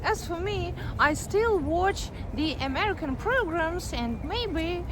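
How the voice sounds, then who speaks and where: A woman's voice: extremely high pitch, animated. A woman with a strong Ukrainian accent giving an earnest, heartfelt personal video update. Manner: earnest, heartfelt, speaks deliberately. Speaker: vlogger, teacher. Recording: clear background vehicle noise.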